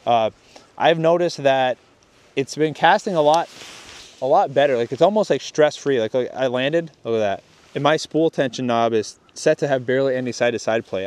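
A man's voice: mid-range voice, darkish tone. Chatty young man casting by a lake. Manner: chatty, rambling, often restarts and trails off. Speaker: fishing video host. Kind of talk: casual outdoor gear-test commentary. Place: outdoors on a lakeshore. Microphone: chest-mounted camera.

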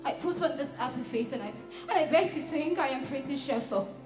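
An actress playing an anguished, emotionally starved woman in a theatrical monologue to her lover, halting and swinging between hushed and loud. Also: high voice, natural voice. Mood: anguished, breaking down. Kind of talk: emotional dramatic audition monologue. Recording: roomy echo with faint music behind.

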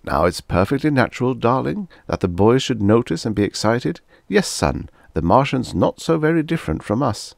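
An excitable middle-aged professor, as a male reader plays him, pompous and full of wonder. The pitch ranges widely over a creaky male voice, in storybook style. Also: normal speed, hardly any pauses, dry recording, studio quality. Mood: reassuring. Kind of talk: storybook character reading.

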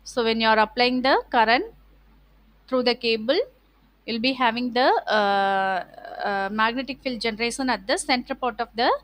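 A woman with a South Indian accent lecturing online from slides, explaining in a halting, repetitive way with frequent okays. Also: on the high side, fairly even intonation, a bit bright, dry recording. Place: online video call with screen share.

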